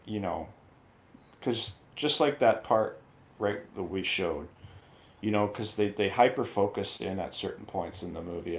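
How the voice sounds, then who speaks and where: A man with a deep, low-pitched voice talks casually in a film review. His delivery is halting, as he searches for the right words while he explains. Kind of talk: casual movie review chat.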